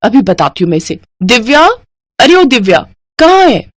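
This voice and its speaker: A woman voicing a bossy, quarrelsome elder sister-in-law with a sharp-tongued, sarcastic way of scolding, in a family story. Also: on the high side, very animated, normal speed, dry recording, clean studio sound. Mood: exasperated scolding.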